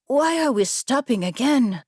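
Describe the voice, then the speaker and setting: A woman's voice: sits high, expressive, unhurried, a little mellow, breathy edge, no echo, clean studio sound, pitched above the performer's own voice, heavily acted. A woman voicing a proud, impatient young noblewoman warrior with a brusque, challenging manner in a fantasy audiobook. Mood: defiant, impatient. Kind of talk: audiobook fantasy character dialogue.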